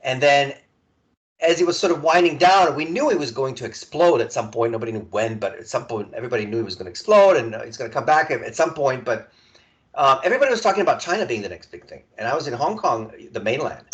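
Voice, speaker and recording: A man in his forties from Serbia, a podcast guest telling his story in a relaxed conversational way, stumbling and restarting often. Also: mid-range voice. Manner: halting, many restarts and repeated words.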